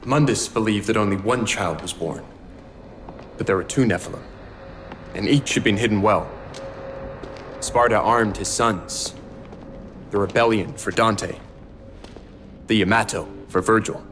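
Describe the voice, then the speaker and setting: A man playing a solemn young half-demon mastermind in a video game, speaking in a measured, articulate, grave way. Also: fairly low pitch, fairly bright tone. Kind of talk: cinematic video game dialogue and exposition. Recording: long reverb, music underneath.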